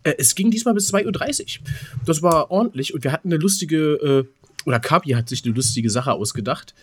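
A man's voice: a little high, expressive, brisk, slightly rough, dry recording. A man of twenty-seven chatting casually as a podcast co-host, rambling through anecdotes and repeating words as he searches for them. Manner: rambling, stuttering word repeats. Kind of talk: casual chat, telling anecdotes.

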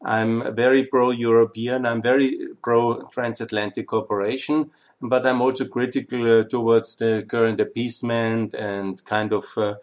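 A man in his fifties with an Austrian accent, speaking English as a second language and introducing his own podcast with many uhs and pauses. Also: medium pitch, flat delivery. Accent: Austrian German. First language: German. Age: 50s. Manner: earnest, many uhs and ums, non-native phrasing. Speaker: podcast host.

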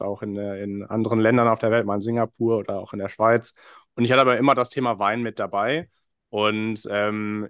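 A man's voice: fairly low pitch, a bit bright, no echo, studio quality. A man in his thirties from England, a podcast guest explaining his business in a friendly way with many fillers. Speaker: podcast guest, wine investment founder. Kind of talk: casual interview talk.

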